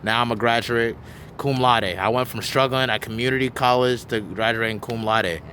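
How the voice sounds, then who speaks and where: A man's voice: medium pitch, fairly even intonation, normal speed, sharp tone, slight background noise. A man in his twenties hosting a podcast, chatting casually and telling his own story in an earnest, talkative way. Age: 20s. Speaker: podcast host. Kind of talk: casual interview chat.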